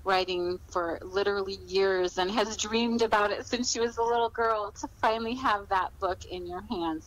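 A woman's voice: mid-range voice, fairly bright tone, rough recording. A middle-aged woman answering interview questions conversationally, in a reflective, encouraging manner. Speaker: author, podcast guest.

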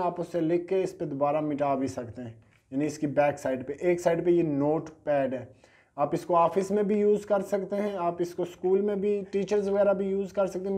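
Brief: thirties man selling gadgets, chatty and hesitant, slightly high, mellow tone, lapel mic. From Pakistan. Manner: chatty, hesitant, repeats fillers and sales catchphrases. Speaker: online gadget seller. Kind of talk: casual product unboxing. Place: small indoor studio at a table.

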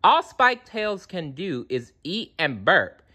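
A narrator voicing a boastful, defiant young female horned dinosaur in a storybook, loud and exclaiming. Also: medium pitch, big pitch swings, takes it slowly, husky, dull audio, pitched above the performer's own voice, full character voice. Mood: indignant.

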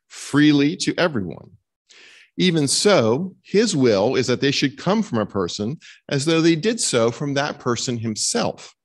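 A middle-aged man reading scripture and doctrine aloud as a minister, formal and measured, at a lectern microphone in a chapel. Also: medium pitch. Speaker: minister leading a church service. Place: stone chapel, indoors. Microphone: lectern microphone on a stand.

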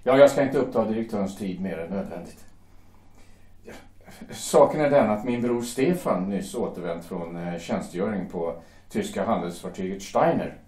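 A dutiful, worried shipyard foreman, played by a man in an audio drama. He speaks hesitantly, with a low voice. The room echoes noticeably. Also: a little mellow, lightly acted. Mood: deferential. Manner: hesitant, defensive. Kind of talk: amateur audio drama acting.

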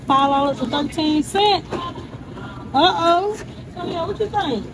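A woman's voice: extremely high pitch, slow. A woman, chatting to viewers as she shops and reads prices aloud. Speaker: vlogger. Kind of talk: casual shopping vlog. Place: indoor meat store, supermarket aisles. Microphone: phone held by hand, selfie camera. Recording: phone audio with clear store noise.

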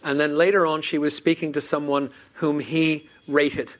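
A man's voice: on the high side, level tone, normal speed. Earnest middle-aged man hosting a panel. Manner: earnest, repeats words when indignant. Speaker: panel host. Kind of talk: fireside chat on stage. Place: conference stage before an audience. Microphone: lapel mic.

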